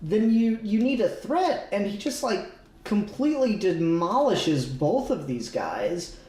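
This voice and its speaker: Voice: mid-range voice, big pitch swings, a little slow, darkish tone, echoey room. A podcast co-host in casual, agreeable conversation about comic books. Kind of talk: casual comic book discussion.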